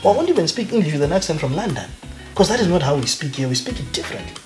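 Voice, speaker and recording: A man with a Zimbabwean accent, a guest academic talking emphatically and with passion in a relaxed interview. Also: fairly high pitch, very fast. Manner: emphatic, passionate, mixes in Ndebele phrases. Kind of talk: conversational interview. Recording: background music. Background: music.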